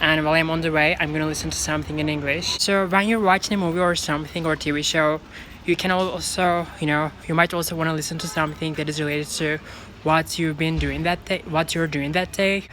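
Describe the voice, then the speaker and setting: A man's voice: a little high, steady tone, fast, pushed and bright, a little hiss or hum. A man with a Turkish accent whose first language is Turkish, earnestly explaining how he studies English and repeating points to stress them. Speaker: English learning vlogger. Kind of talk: explaining study tips to viewers.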